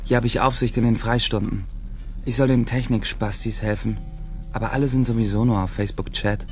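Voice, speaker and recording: A man's voice: mid-range voice, fairly even intonation, fairly quick, darkish tone, soft background music, natural voice. Wry, boastful schoolboy gang leader man actor, radio play narrator, middle register, short punchy phrases. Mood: wry, bored. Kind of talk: first-person audio drama monologue.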